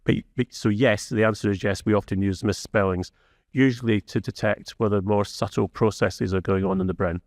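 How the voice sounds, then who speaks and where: A man's voice: on the low side, fairly even intonation, a little fast, a little mellow, no room sound, studio quality. A man on a conference panel explaining reading research, stumbling over restarts before settling into a fluent explanation. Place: conference venue.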